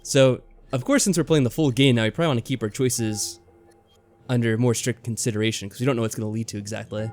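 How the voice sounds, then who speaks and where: A man's voice: medium pitch, fast talker, a little mellow. A man hosting a let's play, chatting casually and a bit tentatively as he comments on a game and reads from it. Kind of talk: casual chat and game commentary, reading game text aloud. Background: game music behind the voice.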